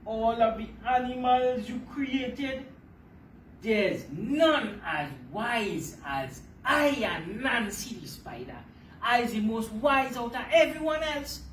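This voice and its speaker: A woman voicing a cocky male trickster spider in a storybook tale, smug and showing off with drawn-out emphatic words. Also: a little high, sing-song, reverberant, recorded from a distance, slight background noise, poor audio. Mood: boastful.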